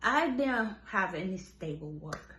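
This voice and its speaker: A woman speaks slowly and deliberately at a middle pitch. Her delivery is emphatic, and she circles back over phrases to drive each point home. The room around her gives her voice a noticeable echo. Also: animated, fairly bright tone. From Senegal. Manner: emphatic, repeats and restarts phrases. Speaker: commentator. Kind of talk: impassioned monologue on politics. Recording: roomy echo.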